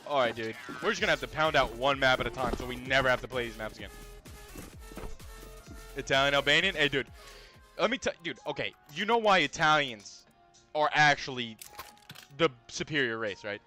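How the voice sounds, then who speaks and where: A male streamer talks over his game in a bright, sharp-edged voice that keeps jumping into loud, cursing outbursts. Faint music sits under his voice. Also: medium pitch, brisk, pauses often. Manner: loud, profane, excitable outbursts. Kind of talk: casual live gameplay commentary.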